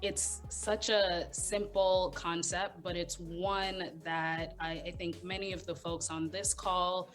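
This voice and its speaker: A woman speaking as a panelist at a video roundtable, measured and a little hesitant, with fillers. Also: on the low side, level tone, faint music behind. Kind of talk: video call roundtable remarks.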